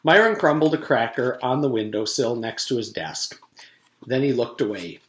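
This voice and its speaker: A man's voice: medium pitch, lively intonation, moderate pace, fairly bright tone. A man, a teacher, reading a children's storybook aloud to his students. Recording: echoey room.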